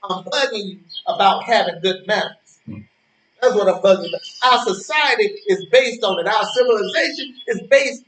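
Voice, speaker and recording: A male actor plays a preachy old man in a stage play, pitched high. He moralizes indignantly, stumbling and doubling back on his words. The sound is echoey, with some ambient noise and birds. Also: fairly bright tone. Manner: moralizing, halting, repeating words for emphasis. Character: preachy, indignant old man, lonely park-bench regular.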